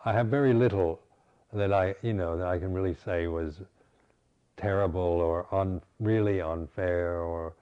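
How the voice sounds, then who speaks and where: Older man, low pitch, halting reflective dharma talk, muffled lo-fi recording. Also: steady tone, clean tone. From United States. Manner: reflective, halting, many restarts. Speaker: Buddhist monk teacher.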